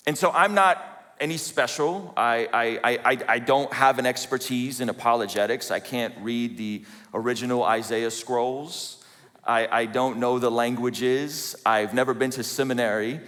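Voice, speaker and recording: A man's voice: mid-range voice, normal speed, a bit bright. Joking man telling his story, hall reverb. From Azerbaijan. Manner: joking, self-deprecating storytelling. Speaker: conference speaker. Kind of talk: personal story talk to a conference audience. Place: large conference hall.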